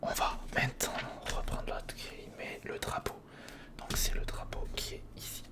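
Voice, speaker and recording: An ASMR creator, speaking in a breathy whisper close to the microphone, casually talking through hands-on work and repeating short phrases. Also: moderate pace. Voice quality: breathy, mostly unvoiced whisper. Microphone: close studio recorder microphone.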